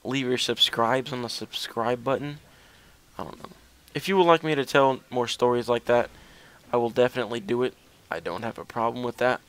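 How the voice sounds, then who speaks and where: A man's voice: mid-range voice, fairly even intonation, a little fast, a bit bright, clear, resonant tone. A young man telling a casual, rambling story as gaming commentary, with a hesitant, self-deprecating way of talking.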